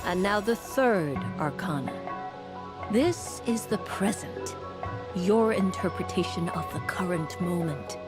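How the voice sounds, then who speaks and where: An actress playing a refined woman mage and fortune-teller in a video game, speaking formally and courteously with measured eloquence. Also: fairly low pitch, expressive. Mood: solemn, guiding. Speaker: fortune-telling mage character. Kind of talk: video game character acting. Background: music.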